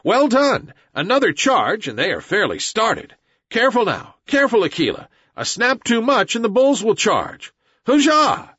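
A man's voice: a little high, sing-song, moderate pace, a bit bright, breathy edge, no echo, highs cut off, full character voice. Eager jungle boy giving orders, as a male reader puts him on. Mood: excited. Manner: urgent, commanding, archaic speech.

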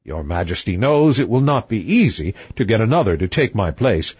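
A man voicing a calm, blunt young prince who speaks coolly, on a dull lo-fi recording. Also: fairly low pitch, normal speed, breathy edge, dry recording, voice pushed down, heavily acted.

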